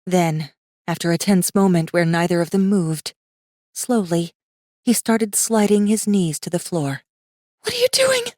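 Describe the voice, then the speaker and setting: Woman reading an audiobook, lowish voice, very animated, dry close sound. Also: a little slow, no room sound. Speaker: audiobook narrator. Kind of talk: expressive scripted fiction narration.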